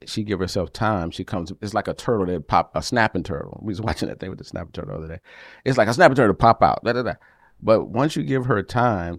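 A man with a deep voice that carries, co-hosting a casual chat with his wife, animated and getting louder when excited. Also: vocal fry, no echo, studio quality. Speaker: podcast co-host. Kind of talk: casual married-couple chat.

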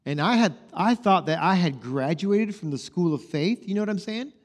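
A man's voice: sits high, expressive, normal speed. A man in his forties preaching a sermon, telling his story in a conversational way and acting out the dialogue. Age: 40s. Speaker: pastor. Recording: long hall reverb.

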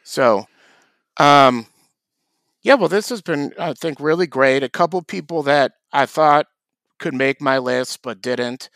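A man co-hosting a podcast, delivering deadpan dry jokes in casual comedic chat. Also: on the high side, measured pace, dry recording. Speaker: podcast co-host.

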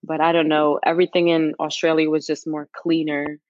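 A woman's voice: low-pitched, little pitch movement, a little fast, a little mellow, no room sound, lo-fi sound. A woman chatting informally as a podcast guest, in a casual and conversational way.

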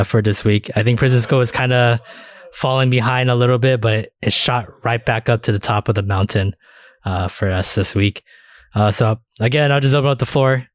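A man's voice: mid-range voice, no room sound, studio quality. A man hosting a casual, sweary podcast chat. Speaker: podcast host.

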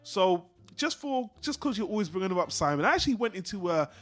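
A man's voice: high voice, sing-song, fast talker, fairly bright tone. A man co-hosting a film discussion show, giving blunt opinions in a casual, sweary style.

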